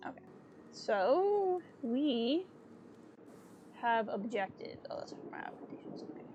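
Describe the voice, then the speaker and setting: Woman game streamer, sniffly, casual and chatty, some vocal fry, faint hiss behind. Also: fairly high pitch, muffled recording. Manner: chatty, thinks aloud with fillers. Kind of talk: casual gameplay commentary. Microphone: desk mic with webcam.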